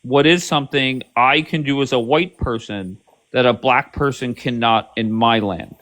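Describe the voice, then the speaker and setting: A man's voice: mid-range voice, normal speed, a bit bright. A man hosts a heated live-stream debate, talking bluntly and swearing freely. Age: 50s. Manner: blunt, profane, pushy.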